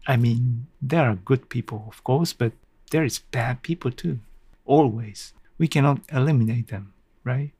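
A middle-aged man hosting a casual solo podcast, thinking aloud haltingly in non-native English phrasing. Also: mid-range voice, gravelly, darkish tone, slightly rough, no echo. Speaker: podcast host.

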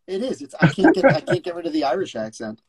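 A man's voice: fairly high pitch, huge pitch swings, fast talker, a crowd in the background. A man from New Jersey on a live stream, puzzling through words aloud and laughing easily. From New Jersey, United States. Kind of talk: casual live-stream chat. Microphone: phone or webcam on a live stream.

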